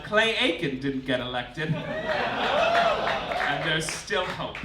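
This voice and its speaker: In a roomy, noisy live club, a man delivers stand-up comedy in a high voice. He is campy and theatrical, presenting mock-formal announcements with exasperated, sweary asides. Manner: campy, theatrical, swooping emphasis. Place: indoor cabaret club stage. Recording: roomy live stage, distant, clearly noisy.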